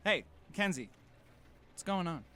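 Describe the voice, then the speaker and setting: A man's voice: sits high, animated, unhurried, noisy background. A man playing a wisecracking, sarcastic teenage best friend in an audio drama. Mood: flippant.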